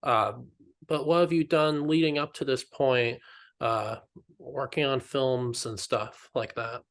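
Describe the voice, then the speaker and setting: A man's voice: mid-range voice, steady tone, slow pace. A man hosting a podcast, asking questions casually and reacting with emphatic enthusiasm. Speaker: podcast host. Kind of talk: casual interview.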